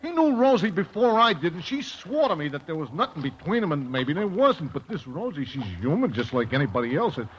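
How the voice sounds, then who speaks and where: Simple wounded sailor, highly expressive. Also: male voice. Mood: wistful, defensive. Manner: plain-spoken, slangy, halting. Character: simple, lovesick, good-hearted wounded sailor. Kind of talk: old-time radio drama acting. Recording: dull, faintly noisy old radio recording.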